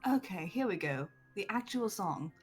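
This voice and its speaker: A woman's voice: medium pitch, animated, moderate pace. A blunt-spoken woman in casual livestream chat with friends, swearing freely. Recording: music playing behind the voice. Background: music.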